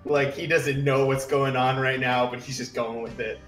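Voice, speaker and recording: A man's voice: mid-range voice, steady tone, moderate pace, a bit bright, faint music behind. A man playing a tabletop roleplaying game, casually narrating what his character does, recorded on a roomy, distant microphone.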